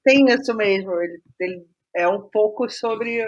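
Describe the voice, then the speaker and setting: A middle-aged Brazilian woman speaking as an interview guest, reflective and halting, qualifying her own words as she goes. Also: medium pitch, lo-fi sound. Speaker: guest playwright.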